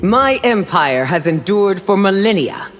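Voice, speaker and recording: A woman's voice: medium pitch, lively intonation, close to an everyday voice. An actress playing an ancient, imperious empress and protective mother in a video game. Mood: stately. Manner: imperious, measured. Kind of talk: video game fight banter. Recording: reverberant, loud music behind.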